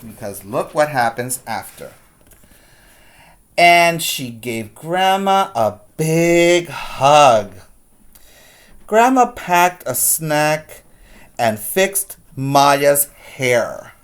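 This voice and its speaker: A preschool teacher reads and explains a story for small children. The pace is slow and deliberate, with simple phrasing and repeated words for emphasis, in a mid-range voice. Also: medium pitch, expressive. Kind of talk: storybook read-aloud with explanations for young children.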